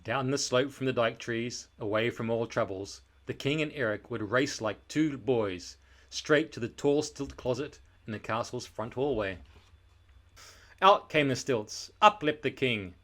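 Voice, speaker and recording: A male storyteller with a medium-pitched voice reads a children's book aloud. His narration is expressive and scripted, leaning on key words with deliberate, dramatic emphasis.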